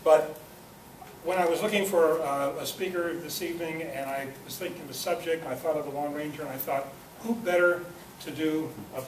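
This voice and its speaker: Guy hosting a club night, rambly storytelling intro, slightly high voice, noisy recording. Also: steady tone, moderate pace. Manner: rambling, anecdotal. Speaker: club host introducing a speaker. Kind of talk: informal spoken introduction. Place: club meeting room, indoors. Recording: roomy, distant mic, noisy amateur recording.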